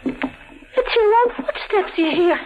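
An actress playing a frightened, pleading gangster's wife with plaintive, slangy delivery in a 1940s radio thriller. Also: female voice, extremely high pitch, animated, takes it slowly, heavily acted. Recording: dull old radio broadcast.

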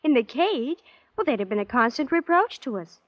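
A woman's voice: sits high, big pitch swings, normal speed, dry recording. An actress playing a naive, spoiled young heiress in a vintage radio drama, with a girlish, airy, petulant manner. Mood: blithely matter-of-fact. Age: young adult. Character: naive, breezily callous, romantic young rich heiress. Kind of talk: 1940s-50s radio drama acting. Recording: dull, dry vintage radio recording.

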